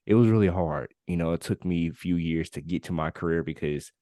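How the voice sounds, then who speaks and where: A young man in his late twenties with a very deep voice talks through his own story on a podcast. He speaks casually and candidly, leaning on filler words as he goes. The sound is dry and close, with no room around him. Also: brisk, dark tone, dry recording. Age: 20s. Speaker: podcast co-host. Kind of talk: casual conversational podcast chat.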